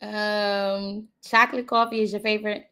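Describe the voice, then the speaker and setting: A woman hosting a casual livestream chat, relaxed, answering in short prompts and laughing easily. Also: mid-range voice, moderate pace, clean tone. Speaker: livestream host.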